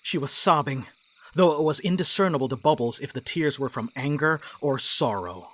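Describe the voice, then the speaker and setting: A woman's voice: deep voice, normal speed. A woman reading scripted narration and stage directions for a dramatic reading.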